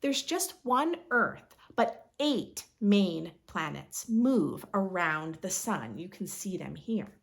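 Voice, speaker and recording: Expressive woman reading slowly to children. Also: lowish voice, gravelly. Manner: expressive, instructive, asks listeners questions. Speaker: children's read-aloud host. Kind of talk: reading a picture book aloud to young children.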